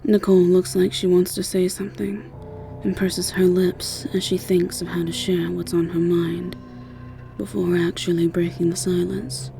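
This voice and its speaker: A woman's voice: on the low side, level tone, moderate pace, creaky, mellow, soft background music. A woman with an English accent narrating a scripted second-person story. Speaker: narrator and voice actor.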